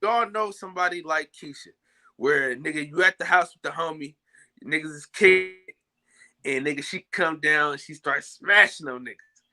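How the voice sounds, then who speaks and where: A young man hosting a casual live-stream chat, loudly shouting out viewers by name. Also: on the high side, normal speed. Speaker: podcast host.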